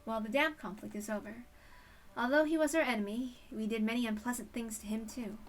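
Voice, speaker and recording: A woman's voice: a little high, fairly even intonation, brisk, distant mic, lightly acted. A woman voicing a brash, confident, teasing mother in a story read-aloud. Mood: matter-of-fact.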